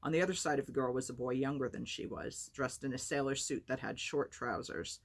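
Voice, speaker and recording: A woman with a low, husky voice hosting a storytime and narrating a book. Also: a little fast. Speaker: storytime reader and host.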